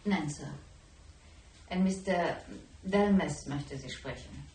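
Cool, low-voiced receptionist, roomy sound. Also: female voice, slow pace, darkish tone. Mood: cool, polite. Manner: polite, clipped, formal. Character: formal, cool female receptionist. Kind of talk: radio drama acting. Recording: roomy echo, faint background noise.

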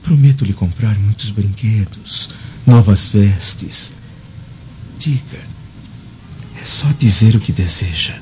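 A man's voice: on the low side, slow pace, long pauses, a little mellow, a little hiss or hum. A man playing a proud Roman senator and anguished, doting father in a radio drama, with formal, emotional diction. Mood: tender, pleading. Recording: dull lo-fi audio over music.

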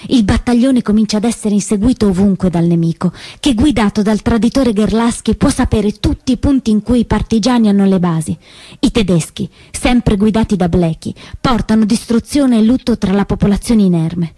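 Husky woman narrating dramatic theatre. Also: mid-range voice, normal speed, warm tone, no room sound. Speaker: actress narrator.

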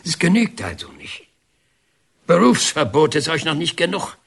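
An actor playing a defiant, bitter, sarcastic painter in a German radio drama. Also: male voice, on the high side, very animated, normal speed, creaky, fairly bright tone, breathy edge, heavily acted. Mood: outraged. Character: defiant, bitter male painter, banned by the regime.

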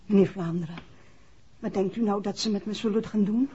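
A woman's voice: medium pitch, fairly even intonation, brisk, warm tone, slight background noise, close to an everyday voice. An actress with a Netherlands Dutch accent playing an anxious, defiant mother in a 1960s radio play. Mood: apologetic, worried. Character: anxious, defiant working woman, mother of injured man. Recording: muffled, hazy old radio recording.

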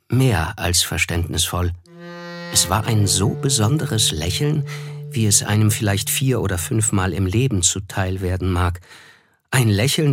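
Low-voiced, reflective young man over music. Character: reflective young male narrator and party guest, polite, observant. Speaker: narrator and character in a radio play. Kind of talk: literary radio drama narration with dialogue.

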